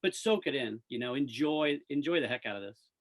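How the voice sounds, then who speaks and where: Fast-talking American man in sports chat. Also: mid-range voice, expressive. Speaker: radio play-by-play announcer, guest. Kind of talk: casual sports interview chat.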